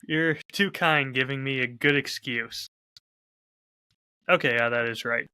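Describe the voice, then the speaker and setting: Bright, forceful man chatting on a podcast. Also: medium pitch, a little slow, smooth. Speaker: podcast host and audio drama creator. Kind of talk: casual podcast chat.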